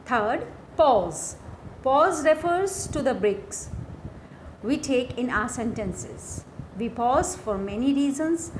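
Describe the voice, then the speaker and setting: A woman with an Indian accent giving a scripted lesson as a lecturer, deliberate and instructional, phrase by phrase. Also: high-pitched, expressive, rough recording. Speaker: English lecturer. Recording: faint background noise.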